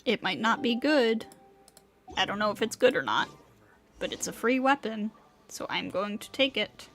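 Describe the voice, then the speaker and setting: A woman's voice: mid-range voice, lively intonation, normal speed, fairly bright tone. A woman game streamer giving casual live commentary, musing aloud to herself as she plays. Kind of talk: casual livestream gameplay commentary. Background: faint game music.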